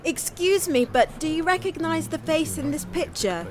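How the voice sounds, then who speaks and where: Casting: an anxious sister on a search, high-pitched, polite and earnest radio-drama dialogue with music behind. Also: female voice, expressive, normal speed. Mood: hopeful, pleading. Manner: earnest, questioning. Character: anxious, polite woman searching for her lost brother. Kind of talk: naturalistic audio-drama dialogue.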